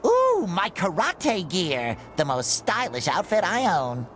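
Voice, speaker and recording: A man's voice: wildly swooping pitch, slow, heavily acted. A man voicing a cheerful, naive, childlike sea sponge in a cartoon game, nasal and eager. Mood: bright, naive. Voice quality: nasal, squeaky. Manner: eager, chirpy.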